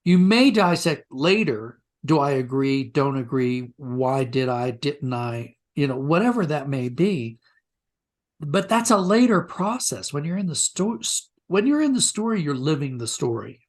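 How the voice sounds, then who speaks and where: A man's voice: a little high, expressive. Thoughtful man talking in an interview. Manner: thoughtful, halting, rephrases himself. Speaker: writer, interview guest. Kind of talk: reflective interview talk.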